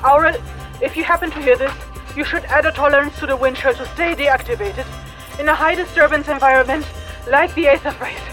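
High-pitched curt magical assistant. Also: female voice, a little fast, a bit bright, faint music behind, rough recording. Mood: curt, edgy. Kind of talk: audio drama acting.

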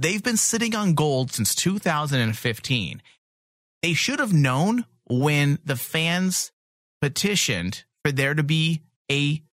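A man's voice: fairly high pitch, a bit bright, no echo, studio quality. A man chatting casually and opinionatedly as a fan podcast host, blunt and swearing freely.

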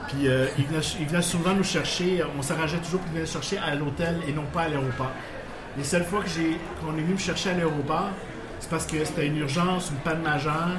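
A man's voice: on the high side, fast. Man in an echoey bar, Quebec French. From Portuguese background, living in Quebec. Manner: rambling, many restarts, chuckling. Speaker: guest. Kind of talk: casual storytelling interview. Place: bar with live audience. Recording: echoey bar with background noise.

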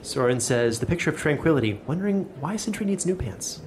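A man's voice: on the high side, animated, a little fast, darkish tone, a little hiss or hum. A man acting as game master and host, moving between scripted story narration and casual banter.

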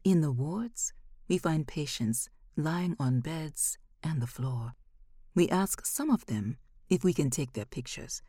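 Low, husky woman narrator, expressive. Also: no echo, studio quality. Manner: dramatic, measured delivery. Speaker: podcast host and audiobook narrator. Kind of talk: expressive scripted story narration.

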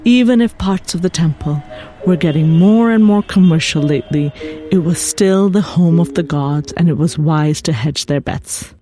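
A woman's voice: fairly low pitch, normal speed, warm tone. A woman reading a literary short story aloud as its author, in a scripted narration style with composed music behind her. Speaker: author reading own fiction. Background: composed background music.

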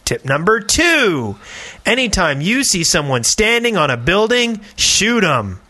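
A man's voice: sits high, big pitch swings, unhurried, pushed and bright. A man co-hosting a casual podcast, playfully theatrical as he chats and reads aloud. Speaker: podcast host. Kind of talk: casual chat and reading listener mail aloud.

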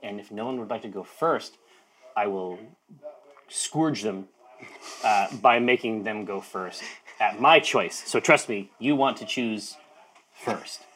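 A man hosting and running a tabletop role-playing game, playful and teasing, breaking into loud excited bursts. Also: on the low side, takes it slowly, pauses often. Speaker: game master.

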